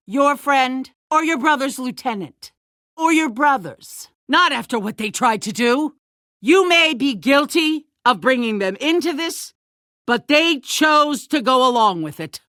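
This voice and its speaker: A woman playing a calculating, ruthless leader of a survivor town in a video game, speaking in a measured, condescending way with controlled menace. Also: sits high, pushed and bright, no room sound, clean studio sound, heavily acted. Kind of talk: dramatic video game acting.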